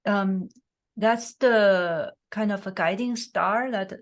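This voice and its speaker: A woman from China whose first language is Chinese, hosting a reflective conversation, speaking slowly and haltingly with many fillers. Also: mid-range voice. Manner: slow, halting, soft-spoken with frequent fillers and laughs. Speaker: host.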